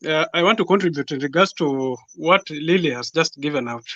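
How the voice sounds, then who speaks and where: High-voiced Kenyan man, dull lo-fi sound. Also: a little fast, a bit bright, dry recording. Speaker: panelist. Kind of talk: political debate.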